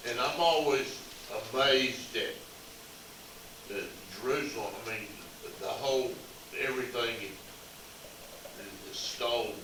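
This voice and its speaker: A man, a member of a Bible study group, speaking in halting stops and restarts. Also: mid-range voice. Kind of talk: casual chat. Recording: very echoey room, far from the mic, noisy.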